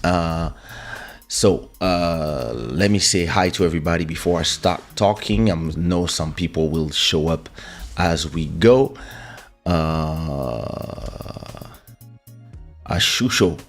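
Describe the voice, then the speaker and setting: A man's voice: a bass voice, animated, slow, soft background music. A man with a French accent hosting a casual livestream, talking loosely with fillers and restarts while greeting his viewers. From France. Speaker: livestream host and musician. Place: home streaming setup in Portugal. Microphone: studio desk microphone.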